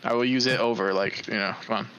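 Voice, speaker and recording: A man's voice: lowish voice, fairly even intonation, fast, a bit bright, highs cut off, slight background noise. A man co-hosting a podcast, chatting casually, blunt and swearing freely. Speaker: podcast co-host. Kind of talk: casual chat.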